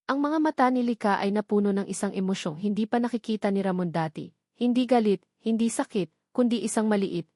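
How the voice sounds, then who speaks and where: Woman, Filipino accent, dramatic scripted storytelling, narrator, medium pitch, very little room sound. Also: warm tone, dry recording, studio quality.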